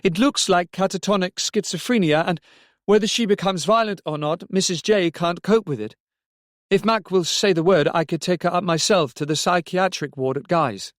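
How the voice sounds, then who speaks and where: A man's voice: sits high, normal speed, no room sound, studio quality, pitched above the performer's own voice, natural voice. A man voicing an agitated male colleague in an audiobook story, protesting urgently with a raised voice. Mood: urgent concern.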